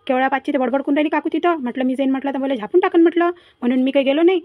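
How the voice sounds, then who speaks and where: A woman's voice: sits high, racing, dry recording, narrow, tinny audio, lightly acted. A woman voicing a mischievous, boastful village boy in a cartoon comedy, chattering and teasing in a Varhadi Marathi accent. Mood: complaining.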